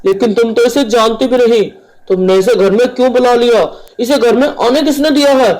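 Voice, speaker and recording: A man voicing a stern, busy father in an animated story, speaking emphatically. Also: very high-pitched, moderate pace, rough recording. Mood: angry. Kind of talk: Hindi animated moral storybook dialogue.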